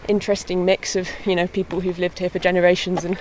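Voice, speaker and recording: A woman's voice: fairly low pitch, fast talker, rough recording. Young woman, easygoing chatty guest, outdoor hill walk, faint wind noise. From born in England, raised in mid Wales. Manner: relaxed, chatty, laughs lightly. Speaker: harpist and guest. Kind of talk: casual walking interview.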